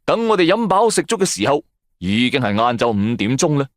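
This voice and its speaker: Animated Cantonese male storyteller. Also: mid-range voice, a little fast, no echo, studio quality. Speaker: audio drama narrator. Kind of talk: dramatic first-person scripted storytelling.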